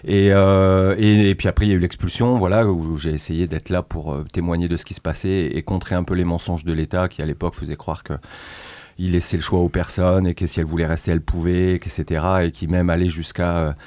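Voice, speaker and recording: A man's voice: deep voice, little pitch movement, fairly quick, a little mellow, dry recording. A man from northern France, a volunteer at a migrant aid group, talking hesitantly in a casual radio interview with many fillers and restarts. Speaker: association founder.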